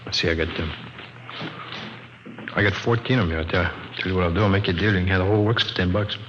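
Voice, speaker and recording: A man's voice: low-pitched, fast talker, natural voice. An actor in an old radio crime drama playing a sleazy, chummy peddler with slangy, wheedling salesman patter. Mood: chummy, dealing. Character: sleazy, chummy male peddler of illicit picture books. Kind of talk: 1950s radio drama acting. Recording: dull, very noisy old radio broadcast.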